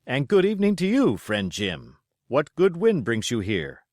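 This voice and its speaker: Storybook doctor, voiced by a male narrator: genial, composed gentleman, measured and pointed, with animated intonation. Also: mid-range voice, dry recording, clean studio sound, voice pushed up.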